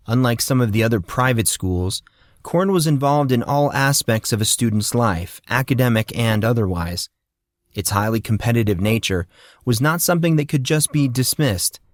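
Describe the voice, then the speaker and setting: A man reading an audiobook in a steady, measured storytelling manner. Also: medium pitch, no echo, clean studio sound. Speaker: audiobook narrator. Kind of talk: scripted audiobook narration.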